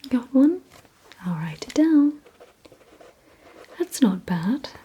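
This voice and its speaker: A woman whispering in a breathy, hushed ASMR roleplay style, giving deliberate instructions directly to the listener. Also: high-pitched, sing-song. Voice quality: breathy, mostly unvoiced whisper. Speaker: ASMR performer.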